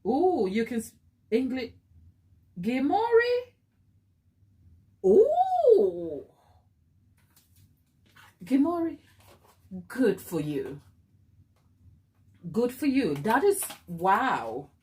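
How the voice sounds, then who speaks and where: A woman's voice: on the high side, wildly swooping pitch, extremely slow, pauses often, darkish tone. A woman with a Ghanaian accent hosting a cake decorating livestream, chatting casually with her viewers and calling them "my people". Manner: chatty, addresses viewers as "my people", mixes in Twi.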